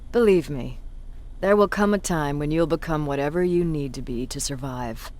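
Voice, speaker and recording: Cynical resistance commander played by an actor, mid-range voice, deliberate, condescending, intimidating, video game drama. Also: mid-range voice, a little mellow, lightly acted. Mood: cold, wry. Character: cynical, intimidating human resistance military commander, self-described bully. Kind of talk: video game cutscene acting, dramatic monologue.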